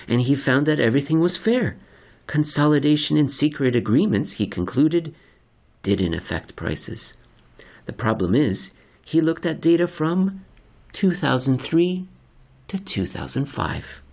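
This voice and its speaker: A man narrating a scripted article as a podcast host, with theatrical, emphatic delivery and deliberate phrasing. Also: mid-range voice.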